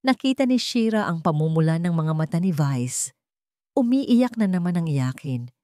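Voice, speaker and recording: A woman's voice: fairly low pitch, lively intonation, moderate pace, dark tone, dry recording, clean studio sound. A woman storyteller reading a scripted love story as dramatic narration.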